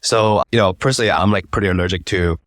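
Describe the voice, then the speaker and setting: A man with a deep voice hosts an interview at a quick pace. His delivery is informal and conversational. The recording is dry and free of echo. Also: a bit bright. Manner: informal, loosely phrased, conversational. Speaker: interviewer, podcast host. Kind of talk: casual interview chat.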